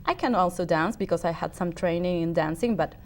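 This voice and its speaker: Woman, Uruguayan Spanish accent, Spanish native speaker, slightly low voice, hesitant, interview guest. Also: fairly quick. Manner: hesitant, many restarts and fillers. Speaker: opera singer, guest. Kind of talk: casual interview answers.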